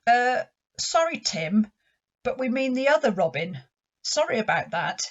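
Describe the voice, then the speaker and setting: A woman's voice: fairly high pitch, sing-song, a little slow. A woman speaking scripted pantomime lines as a narrator. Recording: dull muffled sound.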